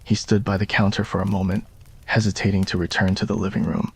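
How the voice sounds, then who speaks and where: A man's voice: low-pitched, flat delivery, quick pace, a little hiss or hum. A man reading scripted narration for a romantic ASMR roleplay story.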